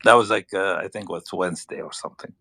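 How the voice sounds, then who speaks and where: A man with a Lebanese accent telling a story in casual talk, halting and searching for words. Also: deep voice, vocal fry, pushed and bright, breathy edge, no room sound, clean studio sound. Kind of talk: casual anecdote on a panel.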